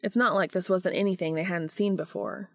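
A woman's voice: mid-range voice, steady tone, brisk, gravelly, no room sound, studio quality. A woman reading a story aloud as scripted audiobook narration. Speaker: audiobook reader of fan fiction.

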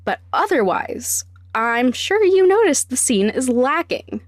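Casting: female podcast narrator, slightly high voice, deliberate and emphatic teaching delivery, dry studio-style sound. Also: sing-song, dry recording. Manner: deliberate, emphatic step-by-step phrasing. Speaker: podcast host, sound designer. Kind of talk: scripted instructional podcast narration.

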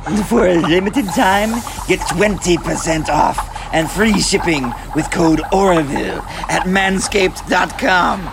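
A man plays a fussy, eager salesman with a highish, gravelly voice. He pitches in grand, formal, slightly clumsy phrases for a comic advertisement, over clear background noise. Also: slow, few pauses, heavily acted. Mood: grandly enthusiastic. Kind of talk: comic scripted ad read in character.

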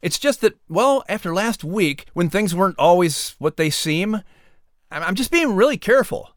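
Male announcer-host for a playful story podcast: expressive swooping delivery, slightly high voice, close studio sound. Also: moderate pace, no room sound. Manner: animated, theatrical. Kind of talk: playful scripted hosting.

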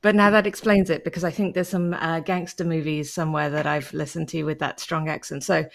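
A woman's voice: low-pitched, level tone, fairly quick, gravelly. A woman hosting an interview podcast, chatty and upbeat, with enthusiastic interjections. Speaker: podcast host. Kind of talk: casual interview chat.

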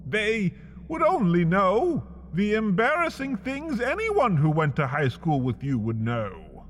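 A man reading a scripted comedic story in the second person, wry and slow, with big swings in his voice. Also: sits high, wildly swooping pitch, big-room sound, a little hiss or hum. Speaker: narrator.

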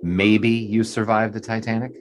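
A man plays a 1920s sports reporter with a low voice and a dry, sardonic turn of phrase. He is wordy and wry, in the manner of an audio drama narrator. Ambient noise sits behind him. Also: level tone, moderate pace, lightly acted. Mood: nervous, flustered. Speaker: narrator and lead character.

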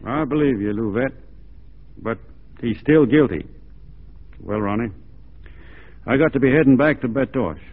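An actor playing an easygoing, plain-spoken Texan cowboy in a radio drama, with a folksy, unhurried manner. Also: male voice, medium pitch, phone-quality sound. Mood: steady, frank. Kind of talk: 1940s radio drama acting. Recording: thin band-limited 1940s radio recording.

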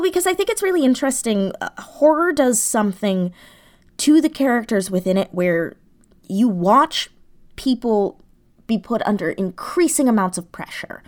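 A woman speaking as an interview guest, explaining her creative thinking in a casual, thoughtful way, searching for words as she goes. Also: mid-range voice. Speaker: guest, audio drama writer.

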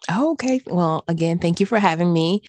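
A woman from the United States, a business lawyer, giving measured answers as a podcast guest. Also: lowish voice, lively intonation, darkish tone, no room sound. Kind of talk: interview answers.

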